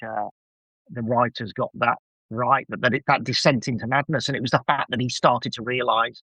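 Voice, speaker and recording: A man's voice: mid-range voice, fairly even intonation, normal speed, sharp tone, slightly rough, no echo. A man chatting enthusiastically and effusively as a podcast guest. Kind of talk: casual enthusiastic review chat. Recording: slightly dull, lo-fi microphone sound.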